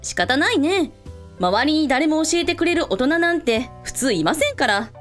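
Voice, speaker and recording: A woman's voice: sits high, very animated, normal speed, soft background music. A woman playing a kindly, breezy and matter-of-fact female hotel owner in a radio drama. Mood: breezy, reassuring.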